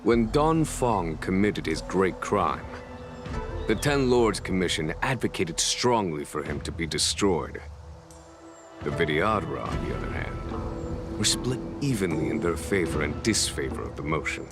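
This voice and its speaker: Commanding game general, low voice, unhurried, grave, formal, shrewd, loud score underneath. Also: male voice, animated. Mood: grave, measured. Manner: formal, deliberate, grave speech. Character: commanding general, weary, shrewd, measured, duty-bound. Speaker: general of an alliance army. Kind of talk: dramatic video game dialogue. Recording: loud music behind the voice.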